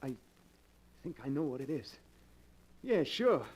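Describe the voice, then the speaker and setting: An actor playing a nervous, guilt-ridden middle-aged husband in an old-time radio drama, stammering and pleading. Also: male voice, on the high side, sing-song, measured pace, darkish tone, slightly rough, heavily acted. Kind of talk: 1940s radio acting.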